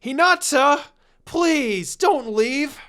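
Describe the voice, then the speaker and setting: A man voicing an excitable, pleading young ninja boy in a fan fiction reading, loud and impulsive. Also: extremely high pitch, very slow, voice pushed up, heavily acted.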